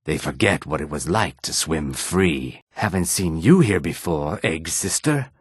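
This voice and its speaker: An actor playing a weary, guarded male lizard-folk character in a fantasy video game, speaking in a measured, formal way with a raspy, rumbling voice. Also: expressive, no room sound, clean studio sound, exaggerated voice. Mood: weary, irritable, then welcoming, smooth. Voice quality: raspy, husky, low rumbling.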